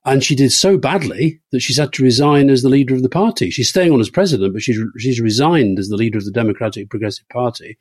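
A man's voice: medium pitch, quick pace, hardly any pauses, slightly rough, no echo, studio quality. A man co-hosting a podcast, talking politics with wry teasing and frequent restarts. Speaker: podcast co-host. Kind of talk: political discussion.

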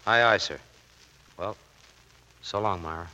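Sharp-voiced, defiant Navy seaman. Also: deep voice, measured pace, heavily acted. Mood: guarded. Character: proud, guarded, defiant young Navy seaman. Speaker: actor. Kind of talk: 1940s radio drama acting. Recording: reverberant old 1940s radio broadcast.

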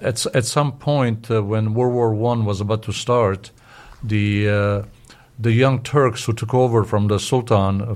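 Older man, from Syria, low voice, narrow intonation, stammered repeats, explanatory interview talk. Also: a little mellow. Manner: halting, searching for words with stammered repeats. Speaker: interview guest.

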